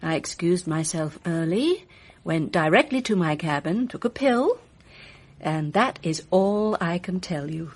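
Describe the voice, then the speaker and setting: A woman's voice: fairly low pitch, expressive, measured pace, breathy edge. A woman playing a poised, evasive film actress in a radio mystery drama, polite, guarded and arch. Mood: polite, coy.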